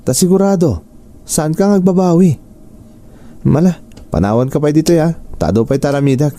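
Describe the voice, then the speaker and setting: Casting: actor as self-serving Filipino boyfriend type, very soft dark timbre, coldly dismissive, radio drama. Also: male voice, fairly high pitch, expressive, moderate pace, lightly acted. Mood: coaxing, callous. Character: callous, dismissive, self-serving male partner. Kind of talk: Ilocano radio drama acting.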